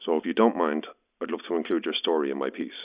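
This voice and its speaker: An actor playing a probing, awkwardly blunt Irish newspaper journalist, heard through a telephone filter in an audio drama. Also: male voice, very deep voice, steady tone, fast. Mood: businesslike. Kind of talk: naturalistic audio horror drama. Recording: thin, narrow telephone line.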